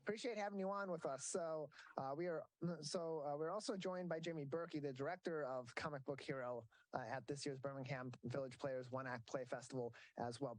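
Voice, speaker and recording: A man's voice: a little high, dry recording, rough recording. A man hosting a local TV interview who stumbles over fillers and repeats words as he restarts. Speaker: host.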